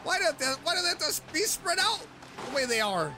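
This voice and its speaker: A woman's voice: very high voice, sing-song, a little fast, over music. A woman streaming a video game, commenting casually and reading along as she plays.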